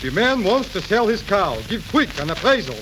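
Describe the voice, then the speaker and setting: A man playing an excitable, haggling middle-aged used-car dealer and father in a 1930s radio comedy. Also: high voice, normal speed. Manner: haggling, excitable, inverted phrasing. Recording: crackly, hissy 1930s radio recording.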